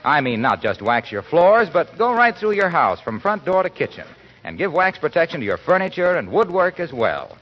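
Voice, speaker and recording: A dull vintage radio recording with an American male announcer, slightly high and husky. He delivers programme and sponsor copy in 1940s style with very lively pitch. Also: normal speed, soft background music.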